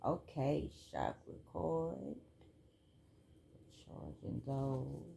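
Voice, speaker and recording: A woman chatting casually through a spiritual card reading at home, relaxed and talkative. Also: a bass voice, very slow, vocal fry, a little hiss or hum. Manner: relaxed, chatty, reads cards aloud. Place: home room.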